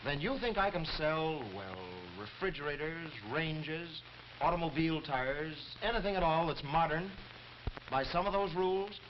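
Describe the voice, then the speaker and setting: An actor playing a brisk, skeptical salesman in a 1930s training film, speaking in a probing, matter-of-fact way. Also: on the high side, natural voice. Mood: skeptical, curious. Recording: dull, noisy 1930s film soundtrack.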